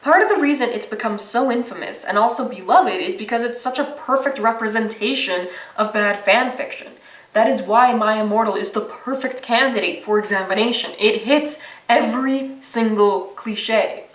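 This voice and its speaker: A woman's voice: on the high side, normal speed, echoey room, recorded from a distance, rough recording. A young woman narrating a video essay in a casual, sarcastic and profane way.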